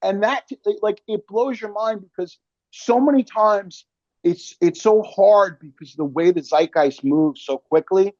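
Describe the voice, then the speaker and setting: A man's voice: high-pitched, measured pace, darkish tone, no room sound. An American man in his forties hosting a casual interview, rambling in slangy, conversational language, on slightly dull audio. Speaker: podcast host, interviewer.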